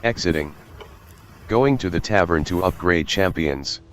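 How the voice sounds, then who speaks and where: Low synthetic male announcer voice. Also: expressive, measured pace, soft background music. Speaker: automated program announcer.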